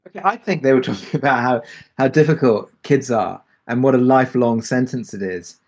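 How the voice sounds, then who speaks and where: A man with a mid-pitched voice talks casually as part of a creative panel. He explains things in a meandering way, pausing and restarting as he finds the words. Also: darkish tone. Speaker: audio drama creator on a Q&A panel.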